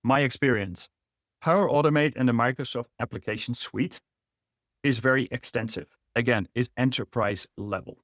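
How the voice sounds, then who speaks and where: A man's voice: mid-range voice, normal speed, fairly bright tone, no echo, studio quality. A middle-aged man from the Netherlands with a Dutch accent, presenting a business webinar in an explanatory way. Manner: explanatory, with frequent fillers and restarts. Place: online webinar.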